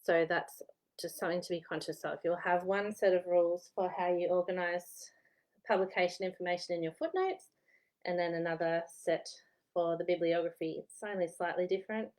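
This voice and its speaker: A woman's voice: fairly low pitch, a little fast, darkish tone, poor audio. A woman in her thirties with an Australian accent and a slightly worn voice, chatting casually from home as a historian giving study tips. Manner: chatty, rambling, laughs at herself. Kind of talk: casual chatty vlog advice.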